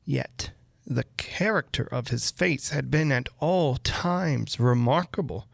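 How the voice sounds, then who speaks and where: Male narrator for classic Gothic fiction: unhurried pace, creaky low-end fry, measured phrasing. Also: dry recording, studio quality. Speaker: narrator reading a classic Gothic short story aloud. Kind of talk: measured literary audiobook narration.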